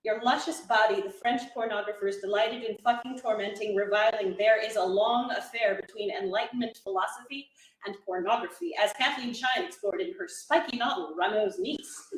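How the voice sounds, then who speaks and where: A woman giving an expressive recital of poetry as a dramatic reader at a literary event. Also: medium pitch, normal speed. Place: reading room at a live literary event. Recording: echoey room.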